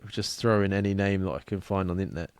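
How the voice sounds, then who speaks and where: A man's voice: low-pitched, rapid-fire, smooth, no room sound. A young man co-hosting a podcast, giggly and self-deprecating, swearing casually. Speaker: podcast co-host. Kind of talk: casual banter.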